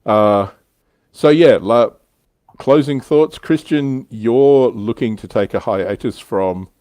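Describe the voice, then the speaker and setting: A man's voice: mid-range voice, takes it slowly, a little mellow, dry recording. A man hosting a casual hobby livestream chat with wry, teasing banter. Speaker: livestream host.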